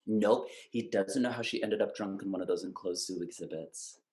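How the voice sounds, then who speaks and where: An actor playing a production office employee talking on the phone in a matter-of-fact way. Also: male voice, fairly low pitch, moderate pace, darkish tone, natural voice. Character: production company employee named Guy answering phone.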